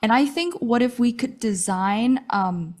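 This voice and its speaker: Tentative young woman student pitching. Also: measured pace, darkish tone. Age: young adult.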